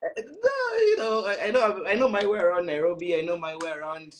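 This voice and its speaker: A man's voice: sits high, expressive, fairly quick, a little hiss or hum. A man hosting a casual online chat, speaking informally with playful, teasing banter. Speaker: host of an online panel chat.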